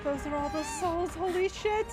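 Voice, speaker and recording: A woman streamer giving an excited, casual reaction to a game, gushing and exclaiming with swearing. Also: very high-pitched, normal speed, music in the background. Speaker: gaming streamer reacting to cutscenes.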